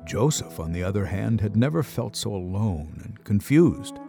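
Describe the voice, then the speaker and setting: A man's voice: lowish voice, sing-song, moderate pace, creaky, dark tone. A man narrating a scripted dramatic story as a storyteller. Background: music.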